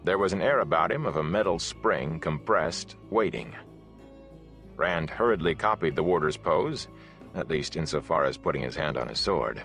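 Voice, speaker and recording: A deep-voiced man narrates a fantasy audiobook in a measured, dramatic way. His low register carries the scripted storytelling, with music playing behind him. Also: expressive, a bit bright.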